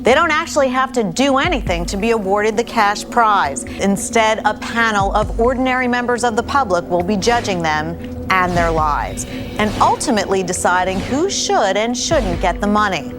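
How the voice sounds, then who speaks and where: A woman's voice: mid-range voice, expressive, normal speed. A woman hosting a game show, presenting to and questioning a panel in scripted style. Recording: music bed behind the voice.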